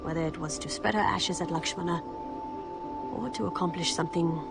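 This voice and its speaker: An actress playing a shrewd female rebel leader in a video game, persuading in a deliberate, measured way. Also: on the low side, gravelly. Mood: solemn persuasion. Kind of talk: video game cutscene acting. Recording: loud music behind the voice.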